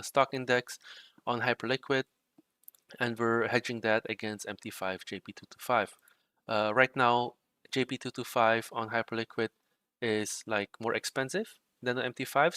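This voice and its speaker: Bright, crisp-voiced young man chatting. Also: mid-range voice, no echo. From Czech Republic. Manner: relaxed, rambling, frequent fillers like "like" and "right". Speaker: livestream host and trading bot developer. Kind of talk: casual livestream chat while coding.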